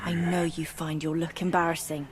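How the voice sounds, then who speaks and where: A woman's voice: sits low, level tone, moderate pace, a little hiss or hum, natural voice. A woman playing a poised, vengeful young spider demon in a video game, speaking with silky, sardonic menace. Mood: cold menace. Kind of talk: video game cutscene acting.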